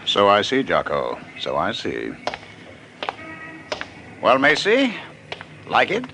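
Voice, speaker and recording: An actor playing a cocky, evasive big spender in a 1950s radio crime drama. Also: male voice, lowish voice, huge pitch swings, takes it slowly, sharp tone, soft background music, exaggerated voice. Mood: cool, guarded. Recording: slightly noisy old radio recording.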